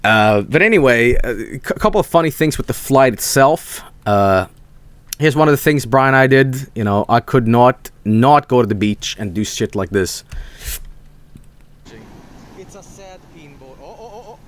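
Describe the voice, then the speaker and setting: A man's voice: medium pitch, very animated, measured pace, long pauses, no room sound. A young man from Sweden, telling rambling stories on a casual stream, swearing freely and restarting his sentences.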